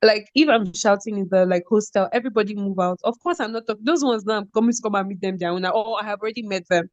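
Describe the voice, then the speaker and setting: A young Nigerian woman, a podcast guest, telling school stories in casual conversation, animated and quick to laugh, often asking "do you get?". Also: medium pitch, no echo, highs cut off. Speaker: podcast guest, former head girl.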